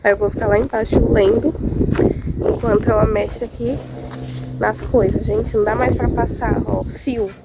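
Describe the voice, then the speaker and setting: A woman's voice: on the high side, fairly even intonation, moderate pace. A Brazilian woman with a cold, chatting casually as she films a vlog outdoors on rural land, gushing over small things. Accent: Brazilian Portuguese. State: congested with a cold. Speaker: vlogger. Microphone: handheld phone. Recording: windy outdoor phone recording. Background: wind and outdoor noise.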